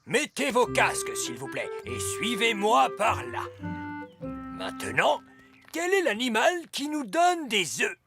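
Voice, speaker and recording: A woman's voice: a little high, sing-song, slow, music in the background, exaggerated voice. A woman voicing a fussy, pedantic old male elf who acts like a schoolmaster in a French-dubbed children's cartoon. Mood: instructive. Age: older.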